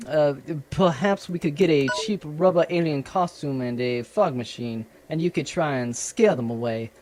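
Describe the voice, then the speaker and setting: A performer playing a dutiful, dry military commander in a comedic sci-fi audio drama, with a formal, deadpan manner. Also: medium pitch, normal speed, noisy background, natural voice. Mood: dry, sardonic.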